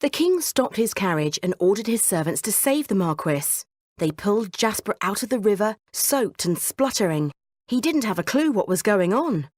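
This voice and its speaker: A woman's voice: mid-range voice, sing-song, normal speed, a little mellow, no room sound, studio quality. A woman narrating a scripted bedtime story for children as the storyteller.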